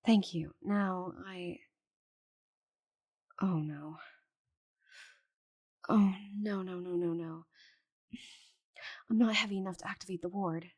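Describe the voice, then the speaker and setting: A woman voicing a proud, stubborn, bitter female dragon in a dramatic audio roleplay, with a breathy voice and haughty, sighing delivery. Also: on the low side, steady tone, slow pace, pauses often, darkish tone. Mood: anxious. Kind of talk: scripted audio roleplay monologue.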